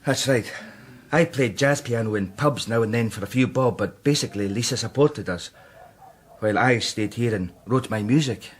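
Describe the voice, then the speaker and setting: An actor playing a brooding, guilt-ridden Scottish composer, intense and defensive, in a radio drama. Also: male voice, medium pitch, normal speed, husky, close to an everyday voice. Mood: flat, resentful.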